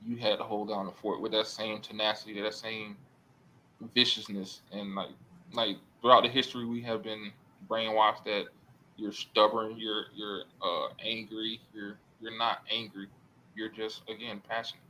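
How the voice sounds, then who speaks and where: Earnest rambling man, bright tone. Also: on the low side, level tone, normal speed, slight background noise, rough recording. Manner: rambling, self-correcting, earnest. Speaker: podcast guest. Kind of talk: casual podcast chat.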